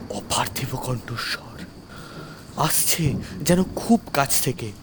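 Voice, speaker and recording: A man's voice: mid-range voice, animated, slow pace, noisy background. A man narrating a scripted horror story in the first person, with a suspenseful, theatrical delivery. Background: music under the voice.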